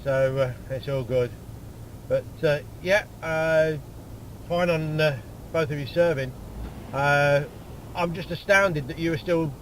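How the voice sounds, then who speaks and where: Man, sixties, British accent, higher-pitched voice, casual radio chat, noisy outdoor-sounding transmission. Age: 60s. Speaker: amateur radio operator. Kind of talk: casual ham radio conversation.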